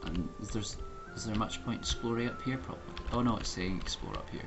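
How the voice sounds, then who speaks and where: A man's voice: lowish voice, fast talker. A man in his thirties giving casual gameplay commentary, muttering puzzled asides as he plays. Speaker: gaming video host. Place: indoor room at a computer. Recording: dull mic with loud game music behind. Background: game music, keyboard clicks, game voices.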